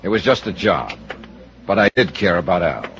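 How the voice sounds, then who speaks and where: A man's voice: low-pitched, big pitch swings, moderate pace, creaky, a bit bright, exaggerated voice. A man acting a tough, cynical American insurance investigator in 1950s radio style, clipped and sardonic. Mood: dry narrating. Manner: clipped, sardonic, hard-boiled. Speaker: lead actor and narrator. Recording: muffled old radio recording with music.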